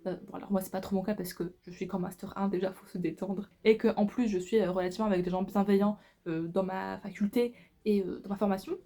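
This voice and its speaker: A woman in her twenties talks as a book vlogger in a soft-edged, mellow voice at a medium pitch. Her delivery is a casual, informal chat to the viewer, loose and full of slang. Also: fairly even intonation, fast talker. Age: 20s.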